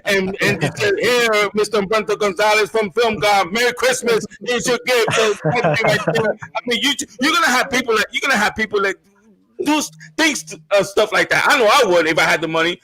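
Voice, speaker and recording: A man from Puerto Rico hosting a casual online show, animated, repeating himself and restarting often. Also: high voice, brisk, rough recording. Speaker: host.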